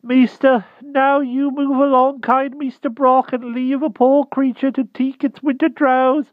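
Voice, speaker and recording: A shrill, slow, timid old hedgehog in rustic dialect, tinny line. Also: male voice, flat delivery, dry recording. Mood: fretful. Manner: thick rustic country dialect, quavering and pleading. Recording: tinny phone-like dry sound.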